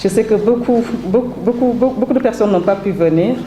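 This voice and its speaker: A woman with a slightly stuffy voice from a cold delivers a formal, measured thank-you speech, pausing on fillers. Her voice rings around a roomy event hall. A steady hiss of background noise runs under it. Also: mid-range voice. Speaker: author presenting her book.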